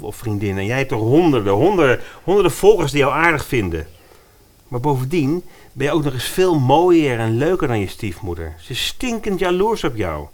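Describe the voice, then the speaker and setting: A man's voice: mid-range voice, natural voice. A man playing a young, earnest, broke personal trainer and biker in a radio play. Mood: urgent.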